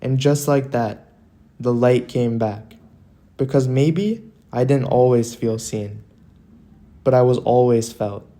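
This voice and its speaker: A man narrating a scripted motivational monologue in an earnest, deliberate way, leaving dramatic pauses between phrases. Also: medium pitch, fairly even intonation, dark tone.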